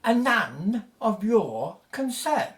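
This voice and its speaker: An actress plays an officious man guarding a site in a radio drama. The delivery is pompous and dismissive, measured in pace, with very animated pitch. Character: officious, sneering male British Museum official guarding site. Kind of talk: audio drama acting.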